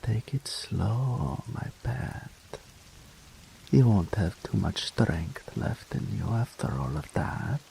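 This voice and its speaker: Deep growly incubus demon guy, a man doing the voice, super slow, purrs and whispers, possessive and doting. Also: sing-song, vocal fry, warm tone, a little hiss or hum, heavily acted, poor audio. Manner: slow, purring, frequently dropping to a whisper. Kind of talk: audio roleplay.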